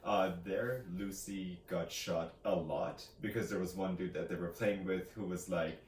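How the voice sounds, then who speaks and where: A man with a low voice retells a story in a loose, conversational vlog style. He rambles and hesitates as he goes, slipping into casual quotes. The room is echoey, with faint noise behind him. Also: fairly even intonation, measured pace. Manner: rambling, hesitant, quoting characters in casual asides. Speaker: co-host of a book discussion vlog. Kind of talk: casual chat, retelling a novel's plot.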